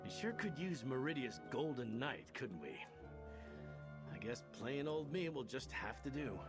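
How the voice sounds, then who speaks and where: A man's voice: medium pitch, lively intonation, a little slow, natural voice. A man playing a good-natured, wry knight in a video game, easygoing and self-deprecating. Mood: humble, sincere. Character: wry, good-natured male knight who lost his powers. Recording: game music behind the voice.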